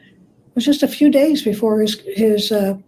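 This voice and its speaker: A woman with a warm, mellow and slightly high voice speaks as an interview guest. She explains in a conversational, measured way, pausing as she puts her thoughts together. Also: poor audio. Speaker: interview guest, author and scholar.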